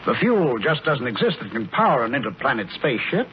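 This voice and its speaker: An actor playing a grave, haunted army colonel in a 1940s radio drama, speaking earnestly and dramatically. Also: male voice, mid-range voice, sing-song, a little fast, a little hiss or hum, close to an everyday voice. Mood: formal, surprised. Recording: muffled old radio recording with music.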